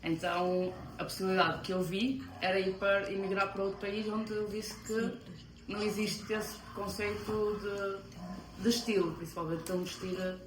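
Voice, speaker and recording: A woman's voice: medium pitch, level tone, normal speed, reverberant, background noise. A woman from Portugal with a European Portuguese accent, answering interview questions hesitantly, with frequent fillers.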